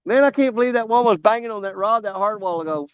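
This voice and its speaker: A man's voice: high voice, very fast, clear, resonant tone, no echo, lo-fi sound. A man, losing his voice and coughing, chatting on a live stream while fishing by a river, rambling and poking fun at himself. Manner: rambling, self-mocking, drawling. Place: outdoors by a river.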